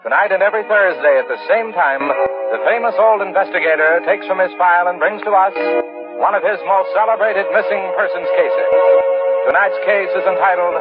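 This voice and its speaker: A man's voice: high voice, fairly quick. A man announcing and narrating a 1940s radio drama in a formal, dramatic manner. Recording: muffled 1940s radio broadcast. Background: loud music.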